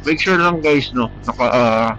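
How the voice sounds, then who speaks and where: A man's voice: medium pitch, normal speed, fairly bright tone. A Filipino man with a stuffy, congested voice from a cold, chatting casually and a bit hesitantly as a motovlogger, slipping into English phrases. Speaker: motovlogger reviewing gear. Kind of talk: casual vlog chat. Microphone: helmet Bluetooth intercom. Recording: dull, noisy Bluetooth intercom mic, vehicle sound.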